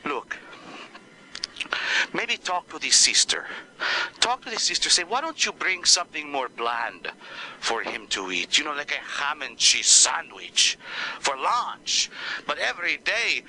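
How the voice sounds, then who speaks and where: A man voicing an exasperated female Spanish teacher who swears, complains and uses broken grammar, with a low voice for a woman. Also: sing-song, measured pace, pauses often, sharp tone, heavily acted, low-quality recording. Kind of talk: one-man comedy skit.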